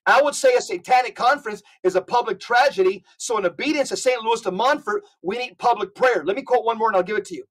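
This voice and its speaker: A man's voice: very high voice, fast, hardly any pauses. A man speaking as a podcast guest, giving impassioned religious talk in an emphatic, exhortatory way and reading out quotations.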